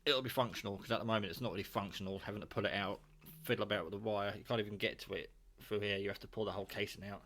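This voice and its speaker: A man's voice: on the low side, steady tone, racing, few pauses, a bit bright. A British man talking casually and off the cuff in his kitchen, rambling informally as he shows a hobby project. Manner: rambling, informal, mildly sweary. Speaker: hobbyist vlogger presenting own radio build. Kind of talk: casual unscripted demonstration talk. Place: home kitchen.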